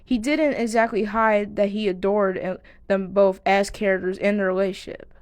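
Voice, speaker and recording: A woman's voice: mid-range voice, steady tone, normal speed, darkish tone, clean tone, no room sound. A woman giving video essay commentary to viewers, speaking earnestly and now and then stumbling over words. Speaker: video creator and host.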